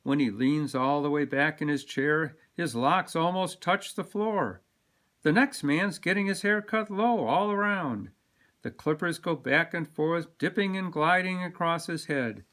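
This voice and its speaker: A man reading a children's picture book aloud with expressive, dramatic emphasis. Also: a little high, measured pace. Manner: animated, dramatic delivery with sound-word emphasis. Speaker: storybook reader.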